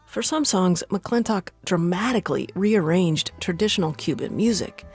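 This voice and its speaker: A woman radio reporter narrating a news feature in a measured, even way. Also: lowish voice. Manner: measured, even storytelling delivery. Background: band music under the voice.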